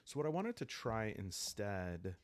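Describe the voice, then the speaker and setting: A man calmly explaining a solo roleplaying game in a soft-spoken, unhurried ASMR style, hesitating now and then as he thinks aloud. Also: lowish voice, no room sound. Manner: calm, unhurried. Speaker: host of a solo roleplaying playthrough.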